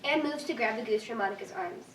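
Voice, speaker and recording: Woman reading out script directions, highish voice, echoey room, mic far away. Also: fast, a bit bright. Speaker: narrator reading stage directions. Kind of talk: scripted narration at a staged reading. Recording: distant echoey room, faint noise.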